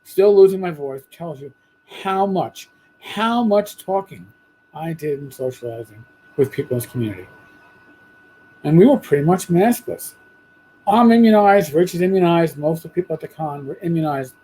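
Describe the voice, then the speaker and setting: A man hosting a podcast, talking bluntly and casually with a hoarse voice after losing it. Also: fairly high pitch, expressive, moderate pace, dark tone, rough recording. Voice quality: husky. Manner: blunt, profane, rambling. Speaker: podcast host. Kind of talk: casual monologue.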